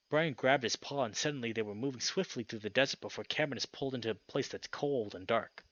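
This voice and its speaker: A man's voice: mid-range voice, no echo, dull audio. A young man hosting and narrating a visual novel, reading aloud with casual commentary. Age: young adult. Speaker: video host and narrator. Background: loud game music.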